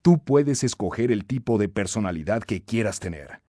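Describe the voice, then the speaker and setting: A man narrating a scripted self-help audiobook in an emphatic, instructive, expressive way. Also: fairly low pitch, moderate pace, no room sound, studio quality.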